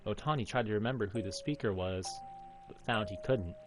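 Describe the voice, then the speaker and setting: Low-voiced man narrating a visual novel. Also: brisk, music in the background. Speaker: video game playthrough narrator.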